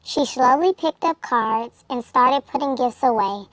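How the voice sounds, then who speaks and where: A woman narrates a children's storybook in a high-pitched voice. The sound is dry, with very little room around it. She phrases each line in a measured, expressive way suited to young listeners. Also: no room sound. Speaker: children's book reader. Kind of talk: storybook read-aloud narration.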